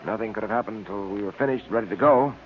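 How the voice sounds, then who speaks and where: An actor playing a calm, commanding detective in 1940s radio style, with a dry, clipped, unflappable delivery. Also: male voice, fairly low pitch, fast, close to an everyday voice. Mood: dry, firm. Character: calm, commanding adult male police detective. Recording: muffled old radio recording with hiss.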